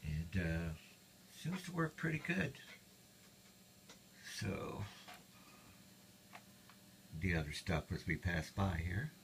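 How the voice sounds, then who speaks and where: A man's voice: sits low, very animated, takes it slowly, creaky, pauses often, a bit bright, a little hiss or hum. An older man talking in a casual, folksy, plainspoken way as he shows off a radio he repaired. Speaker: radio hobbyist. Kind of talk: casual show-and-tell.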